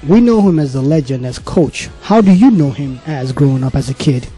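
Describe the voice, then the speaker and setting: Man, sports interviewer, friendly conversational, mellow tone, soft music underneath. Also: medium pitch, animated, brisk, slightly rough. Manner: friendly, conversational, hesitant fillers. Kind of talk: casual sports interview questions.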